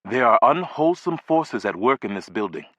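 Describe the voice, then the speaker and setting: A man voicing a courteous, stiffly formal older man who speaks carefully and gravely. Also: mid-range voice, sing-song, normal speed, fairly bright tone, dry recording.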